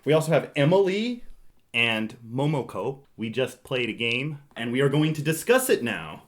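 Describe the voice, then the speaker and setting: A man's voice: medium pitch, big pitch swings, moderate pace. A man hosting a casual board game podcast, talking in a teasing, bantering way. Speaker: podcast host.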